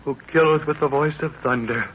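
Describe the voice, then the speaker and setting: A man's voice: fairly high pitch, normal speed, lightly acted. Awed male medieval supplicant, played by an actor, archaic and theatrical; noisy, dull vintage radio recording. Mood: awed, pleading. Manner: reverent, declamatory archaic speech. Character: awestruck, pleading medieval servant who worships explorers as gods. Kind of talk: 1930s radio acting.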